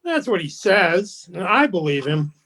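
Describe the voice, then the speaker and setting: A man's voice: high voice, very animated, a little slow, a bit bright, heavily acted. A man plays a snobbish society go-between for a radio play. He is formal and genteel, deferential in his phrasing and faintly condescending. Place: remote home recording over video call. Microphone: video call.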